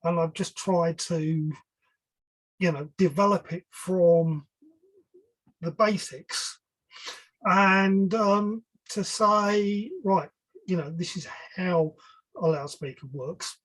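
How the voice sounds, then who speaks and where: Husky older man, informal speaker. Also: high voice, steady tone, takes it slowly, long pauses, fairly bright tone, rough recording. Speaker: guest speaker, loudspeaker engineer. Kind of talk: informal webinar talk. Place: online video call.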